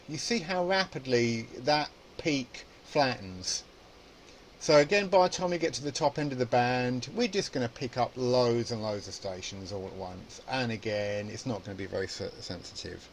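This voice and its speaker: Casual explanatory man, faint background noise. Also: mid-range voice. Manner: casual, explanatory, thinking aloud. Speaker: electronics hobby presenter. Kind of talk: informal spoken tutorial demonstration.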